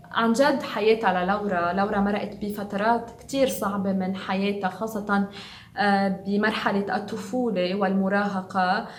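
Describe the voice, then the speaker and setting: A woman's voice: medium pitch, echoey room, slight background noise. A woman with a Lebanese Arabic accent, hosting a television interview and interpreting for viewers. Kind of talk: presenting and translating for viewers.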